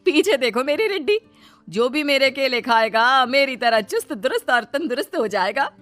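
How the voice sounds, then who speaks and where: A woman voicing a vengeful, cackling female witch ghost who sells bananas in a cartoon story, gloating and drawn out, over soft music. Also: high-pitched, expressive, moderate pace, pitched above the performer's own voice. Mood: sales pitch.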